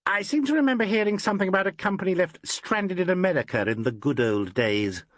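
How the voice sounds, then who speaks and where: A man's voice: sits high, lively intonation, a little fast, dry recording, pitched above the performer's own voice. A man voicing a needling, theatrical actor who coos and teases. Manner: teasing, cooing.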